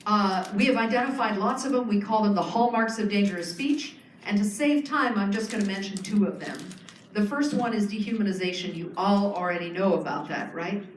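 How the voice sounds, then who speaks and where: A woman's voice: mid-range voice, brisk, recorded from a distance, a little hiss or hum. A woman giving a public lecture, explaining earnestly with frequent fillers and restarts. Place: lecture hall. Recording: echoey hall, speaker off-mic.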